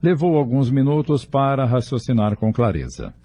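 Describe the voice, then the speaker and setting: A man's voice: medium pitch, very animated, moderate pace, dark tone, no echo. A man with a Brazilian accent giving a scripted, dramatic story narration. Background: music.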